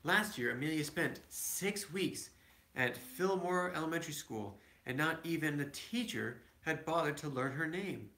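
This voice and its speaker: A man reading a children's picture book aloud as a father to his kids, a little halting, with casual asides. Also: on the high side, expressive, pushed and bright. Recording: echoey room, home recording.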